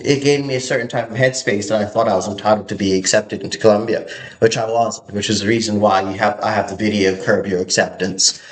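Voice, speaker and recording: A young man from Hong Kong giving an earnest, halting motivational monologue to camera, often repeating his words. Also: lowish voice, roomy sound, rough recording.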